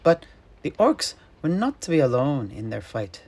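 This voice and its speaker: A man narrating a fantasy battle tale in character, theatrically and with deliberate, dramatic pauses. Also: medium pitch, sing-song, darkish tone. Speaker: storyteller guest in an in-character fantasy chat.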